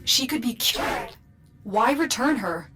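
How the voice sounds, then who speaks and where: An actress playing a composed, motherly commander in a video game, firmly protective, measured and insistent. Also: a little high, expressive, background noise. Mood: concerned, firm. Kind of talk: dramatic video game dialogue.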